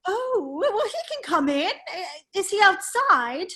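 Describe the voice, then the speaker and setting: A very high-pitched, foppish English nobleman acted by a woman in a radio play. The delivery is slow and affected, full of dithering exclamations and idle teasing. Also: big pitch swings, bright, forceful tone, heavily acted. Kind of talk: radio play table read.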